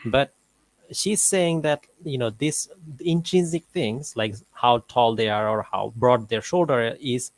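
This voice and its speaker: A man's voice: mid-range voice, unhurried, no echo, low-quality recording. A man hosting a live show, giving casual debate commentary peppered with "like" as he explains. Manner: filler-heavy, often says "like".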